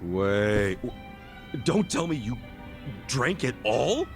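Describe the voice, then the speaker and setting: An actor playing an easygoing, level-headed buddy in a video game cutscene, speaking casually and earnestly. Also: male voice, medium pitch, very animated, takes it slowly, faint music behind. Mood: incredulous. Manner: casual, incredulous, reassuring.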